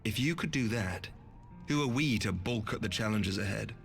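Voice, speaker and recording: An actor playing a courteous noble lord commander in a video game, speaking formally and earnestly in measured phrases. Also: male voice, fairly low pitch, expressive, vocal fry, faint music behind. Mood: solemn, stately. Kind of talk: video game cutscene acting.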